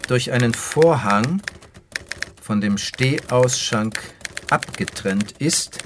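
Sober struggling writer, radio drama. Measured, unhurried, typewriter clicking behind. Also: male voice, medium pitch, fairly bright tone, a little hiss or hum. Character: struggling writer, reading his screenplay aloud as he types. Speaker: actor playing a writer. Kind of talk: German radio play, measured reading and terse dialogue. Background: typewriter keys clicking.

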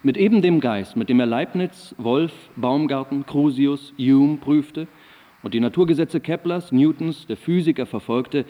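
A male actor as a scholarly, reverent witness in a radio drama. The voice is warm and dark, of middle pitch. He delivers formal literary testimony deliberately, with a long hall reverb. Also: close to an everyday voice. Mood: reverent, admiring. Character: learned male admirer recalling his esteemed teacher, reverent.

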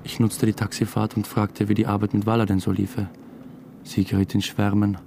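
A man's voice: lowish voice, completely flat delivery, moderate pace, creaky, mellow, slight background noise. An actor voicing a brooding, jealous theatre man in a radio drama, narrating intimately and dryly with an Austrian accent. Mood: brooding, wistful.

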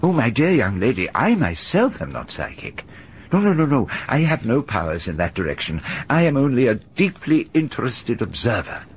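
An actor playing a genial older gentleman with a fussy, chuckling manner in a radio drama. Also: medium pitch, very animated, normal speed, slightly rough. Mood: chatty. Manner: chuckling, fussy, hesitant repeats. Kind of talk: 1930s-style BBC radio drama.

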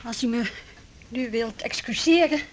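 A woman's voice: a little high, unhurried, slight background noise, close to an everyday voice. An actress playing a proud, coolly formal woman in a Dutch radio drama. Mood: cool, curt. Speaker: actor in a radio play.

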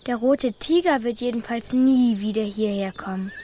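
A woman's voice: on the high side, normal speed, warm tone, a little hiss or hum, lightly acted. A female performer playing a plucky, outspoken six-year-old girl in a children's radio play. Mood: gentle. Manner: direct, spirited.

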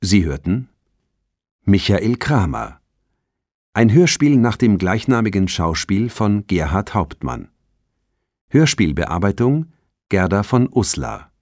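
Male announcer for radio drama credits: deep-leaning voice, unhurried, formal and measured, very dry close sound. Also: sing-song, a little mellow, no room sound.

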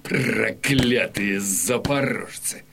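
An actor playing a haughty, irritable Polish military governor in a Russian audio drama, peevish and commanding. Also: male voice, lowish voice, drawn-out words, gravelly, background noise, full character voice. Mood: irritated.

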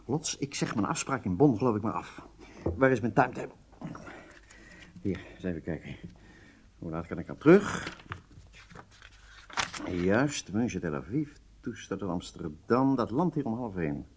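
An actor playing an agitated, businesslike intelligence handler in a radio drama, with halting delivery and emphatic outbursts. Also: male voice, lowish voice, very animated, a little hiss or hum. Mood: stern, upset.

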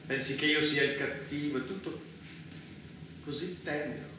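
An actor playing a fanatical, domineering mastermind in a dystopian stage drama, theatrical, moving between taunting softness and shouting. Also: male voice, on the high side, normal speed, close to an everyday voice. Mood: soft, condescending. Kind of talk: intense Italian stage drama acting. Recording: roomy, distant stage recording, faint noise.

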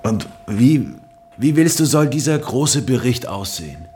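An actor playing a puzzled, questioning subordinate in radio drama dialogue. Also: male voice, medium pitch, sing-song, unhurried, dark tone, a little hiss or hum.

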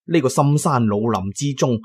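Guy narrating an audio drama, normal mid voice, chatty expressive storyteller. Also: medium pitch, normal speed, husky.

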